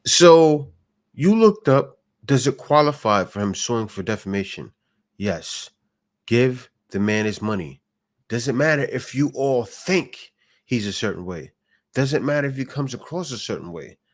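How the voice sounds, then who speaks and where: A man hosts a reaction video in a blunt, argumentative voice. His pitch sits in an ordinary middle range. He keeps making his point through rhetorical questions. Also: very animated, a little slow. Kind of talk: opinionated reaction commentary.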